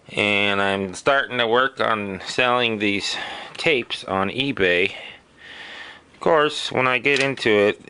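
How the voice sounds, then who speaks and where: A man with a fairly low, bright voice talks very slowly and casually to camera, like a vlogger going through his finds. He is plainspoken and matter-of-fact, pausing between items, with some noise behind him. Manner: plainspoken, matter-of-fact item listing with pauses. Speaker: vlogger showing storage auction finds. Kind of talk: casual unscripted narration to camera.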